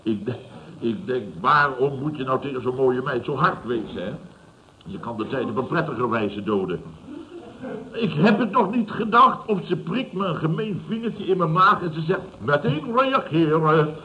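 A man's voice: a little high, expressive, normal speed, lightly acted. An actor in his fifties playing a self-satisfied, blustering building boss in a vintage radio comedy, chuckling and repeating himself. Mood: indignant, amused. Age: 50s. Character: gruff, self-satisfied older male building boss telling tales. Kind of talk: 1970s Dutch radio comedy acting. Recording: muffled, noisy vintage radio recording.

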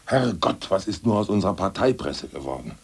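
An actor playing a brooding, ousted aging party official in a radio drama, who recites poems in a slow, solemn drone. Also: male voice, low voice, big pitch swings, creaky, a little hiss or hum, heavily acted. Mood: indignant. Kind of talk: 1980s radio drama acting.

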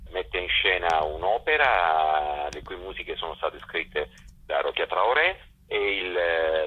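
Low-voiced man on a muffled phone line. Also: breathy edge. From Italy. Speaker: festival director being interviewed. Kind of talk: unscripted radio interview, presenting a programme.

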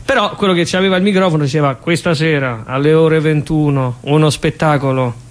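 Guy, slightly high voice, actor chatting away, jokey and animated, very colloquial. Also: a little fast. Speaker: actor and playwright, interview guest. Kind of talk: casual chat, telling anecdotes.

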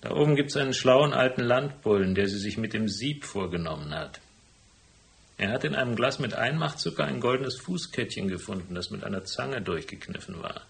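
An actor playing a shrewd, unflappable private detective in a radio crime drama, speaking in a dry, deliberate way. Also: male voice, mid-range voice, animated, vocal fry. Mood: calm, explaining. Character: cool, laconic hardboiled male private detective, shrewd and unflappable. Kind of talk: German radio crime drama acting with first-person narration.

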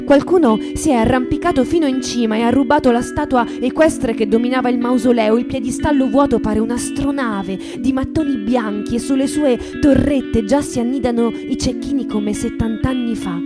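A woman reading a literary novel for radio in a measured, expressive, dramatic style. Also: on the high side. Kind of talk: dramatic literary narration with music. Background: plucked guitar music.

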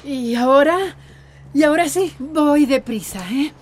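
An actress playing a flustered village woman in a radio drama, halting and hesitant as if hiding her feelings. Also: high-pitched. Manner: halting, stammering.

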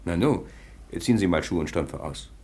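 A brisk, commanding police chief in a radio play, snapping out orders. His voice is deep and swings widely up and down. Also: lively intonation, a little mellow. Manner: curt orders.

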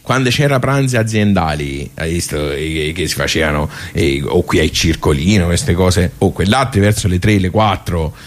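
A man acting out a forgetful old regular of a village social club in a radio play. His voice is on the low side, with a Tuscan accent. He rambles colloquially, stumbling and doubling back over his words as he tells his stories. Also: close to an everyday voice. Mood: amused storytelling. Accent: Tuscan Italian. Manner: rambling, halting, repeating himself, colloquial. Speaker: actor performing a radio theatre monologue. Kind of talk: theatrical vernacular monologue told as casual bar storytelling.

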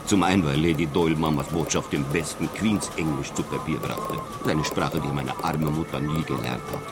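A man's voice: a bass voice, steady tone, moderate pace, noisy background. An actor playing a scornful showman in a radio drama, mocking and emphatic as he debunks trickery. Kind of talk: German radio drama acting.

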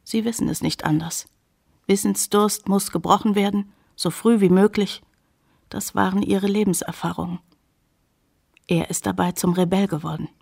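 An actress playing a reflective woman who narrates childhood memories in a radio play, in a measured storytelling manner. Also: mid-range voice, steady tone, vocal fry, darkish tone.

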